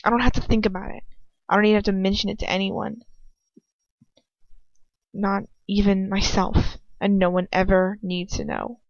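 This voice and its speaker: A woman reading as a frightened, despairing young woman who argues with herself in a halting, anguished way. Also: mid-range voice, level tone, gravelly, dry recording, natural voice. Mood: anxious, despairing. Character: frightened, despairing young woman narrating her own inner turmoil. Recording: dull, muffled lo-fi home recording.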